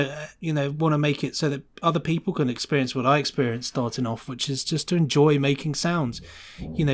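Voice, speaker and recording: Guy being interviewed on a podcast, chatty n enthusiastic, mid voice, casual. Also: mid-range voice. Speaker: podcast guest, sound designer.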